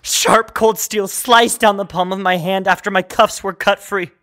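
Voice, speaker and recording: An actor playing a traumatized, bitter survivor of a hostage ordeal, narrating intensely in the first person in an audio drama. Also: male voice, high voice, steady tone, moderate pace, pushed and bright. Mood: haunted, intense.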